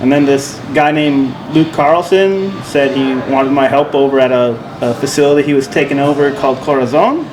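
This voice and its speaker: A man's voice: mid-range voice, moderate pace, hardly any pauses. Easygoing man, noisy backdrop. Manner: relaxed, rambling storytelling with fillers. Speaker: winemaker and co-host. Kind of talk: casual podcast chat. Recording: steady background noise.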